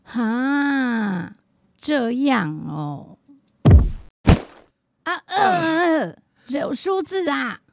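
A woman's voice: high voice, very animated, heavily acted. A woman playing a befuddled, hesitant old lady in a radio drama. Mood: confused. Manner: slow, confused, halting. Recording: clearly noisy background.

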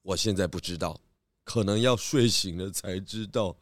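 A man playing a calm, kindly pig detective in a children's audio drama. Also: sits low, normal speed, close to an everyday voice. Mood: sleepy, pondering. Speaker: detective lead of children's story podcast.

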